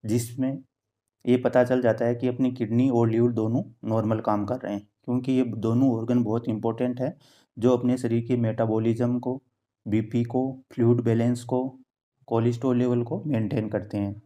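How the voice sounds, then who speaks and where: A man in his thirties, a cardiologist, calmly explaining health tests to camera in a plain indoor room, steadily reading out numbers and ranges. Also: medium pitch, moderate pace. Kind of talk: educational health talk to camera. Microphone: camera at close framing, head and shoulders.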